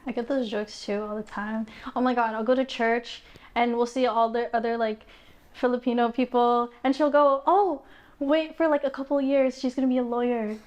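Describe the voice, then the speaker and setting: A young woman in casual panel chat, telling stories with lively mimicked quotes. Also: fairly high pitch, normal speed. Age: young adult. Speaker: student panelist.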